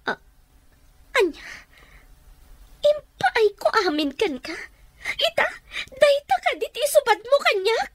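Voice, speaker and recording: A woman's voice: very high voice, sing-song, unhurried, long pauses, fairly bright tone, dry recording, exaggerated voice. A woman with a Filipino accent playing a distraught, indignant wife-like figure shut out of home, melodramatic radio drama acting. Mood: indignant, upset.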